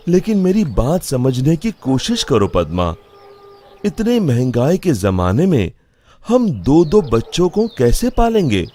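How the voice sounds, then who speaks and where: A man's voice: medium pitch, mellow, slight background noise. A man voicing a stubborn village father who dotes on his son, in an insistent, explaining manner for a children's story.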